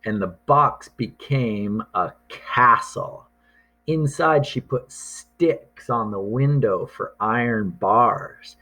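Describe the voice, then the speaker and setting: A man reading a picture book aloud to young children, deliberate and expressive, drawing out words and pausing for drama. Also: medium pitch, low-quality recording.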